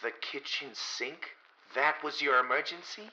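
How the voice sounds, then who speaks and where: A man's voice: medium pitch, measured pace, bright, forceful tone, dull audio. A man playing an earnest, anxious and wry private detective in a 1930s radio mystery, exclaiming urgently and stammering when evasive. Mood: exasperated.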